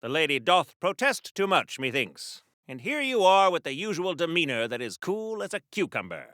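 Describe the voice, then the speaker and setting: A man's voice: on the high side, sing-song, moderate pace, pushed and bright, no echo, studio quality. A man playing a pompous, wholesome golden age comic book superhero in a video game, with wordy, old-fashioned genteel diction in the style of a 1940s radio serial. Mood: wry, superior.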